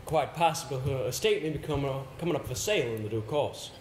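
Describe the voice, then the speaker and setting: An actor on stage playing a polite, inquisitive, slightly flustered solicitor with a formal, hesitant manner. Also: male voice, medium pitch, lively intonation, quick pace, natural voice. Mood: polite, puzzled. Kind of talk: live stage play acting. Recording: echoey hall, stage play recording with hiss.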